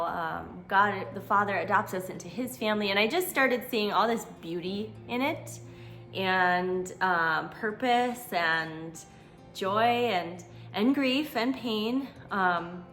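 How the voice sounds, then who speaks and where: Bright-voiced American woman telling her story. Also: mid-range voice. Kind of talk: personal storytelling vlog, conversational.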